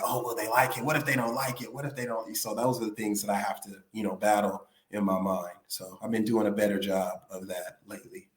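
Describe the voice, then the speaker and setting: A man's voice: on the low side, echoey room. A male singer being interviewed, talking casually and rambling with lots of "you know what I'm saying". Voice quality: raspy.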